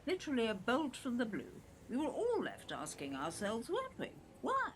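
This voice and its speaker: An older English mother holds forth in an audio drama. She is imperious, theatrical and very animated in pitch, talking down to whoever listens. Faint noisy ambience sits behind her. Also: normal speed. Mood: domineering, dramatic. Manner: haughty, theatrical, domineering. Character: imperious, talkative older mother, grandly aggrieved, gin-drinking. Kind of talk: audio play acting. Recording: clear background noise, outdoor ambience.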